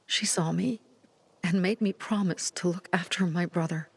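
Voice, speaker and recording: A woman's voice: lowish voice, steady tone, moderate pace, creaky, a little mellow, a little hiss or hum. A woman voicing a determined young female resistance leader in a video game, speaking earnestly and resolutely. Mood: reflective. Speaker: settlement leader.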